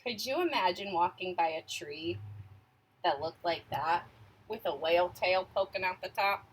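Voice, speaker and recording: A woman reading a picture book aloud to children in an expressive way, stopping to ask her young listeners questions and sometimes dropping to a hush for suspense. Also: lowish voice, moderate pace, slightly rough, poor audio.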